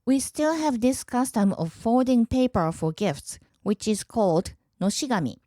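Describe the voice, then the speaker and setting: Japanese woman in her 30s doing a podcast, calm and clear, mellow voice, dry close mic. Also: fairly high pitch, moderate pace, no room sound. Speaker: podcast host. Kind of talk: scripted explanatory podcast monologue.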